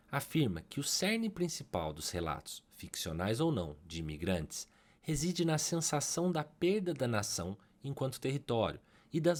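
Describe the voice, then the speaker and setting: A man with a Brazilian Portuguese accent narrating a scripted literary analysis in a measured, academic way, with emphases that rise on key ideas. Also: mid-range voice, darkish tone.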